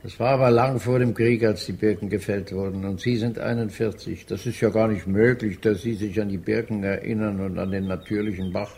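A man's voice: on the low side, fairly even intonation, a little fast, hardly any pauses, a little mellow, natural voice. An actor in a German radio drama playing a stubborn old man who dwells on memories and contradicts in a matter-of-fact way. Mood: doubting. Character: old man fishing, stubbornly argumentative, reminiscing.

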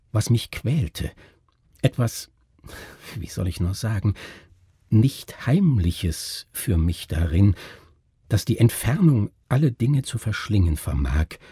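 A man voicing a brooding, oversensitive man in a story, confiding uncanny thoughts in a halting, intense, husky way. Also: on the low side, dark tone, dry recording, clean studio sound, heavily acted. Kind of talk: dramatic story reading.

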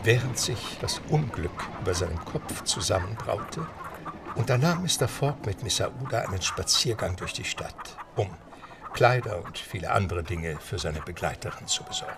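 A man's voice: fairly low pitch, expressive, moderate pace, vocal fry, distant mic, heavy background noise. A man narrating an audio drama in scripted storytelling style. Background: music.

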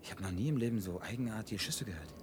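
A man's voice: fairly low pitch, fast, noisy background, lightly acted. An actor playing a tense, commanding and bitterly sarcastic man facing deadly danger in a science-fiction radio drama. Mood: excited.

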